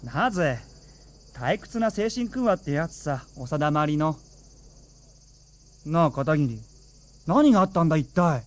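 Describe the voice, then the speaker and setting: An actor playing a cheeky, blunt teenage schoolboy in a radio drama. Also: male voice, on the high side, lively intonation, a little slow, pauses often. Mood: baffled.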